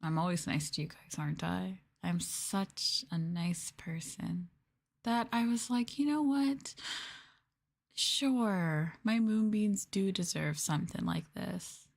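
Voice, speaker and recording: Slow-talking, condescending woman streamer. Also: fairly low pitch, vocal fry. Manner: teasing, mocking, condescending. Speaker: VTuber streamer, host. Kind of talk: sadistic ASMR roleplay, livestream chat.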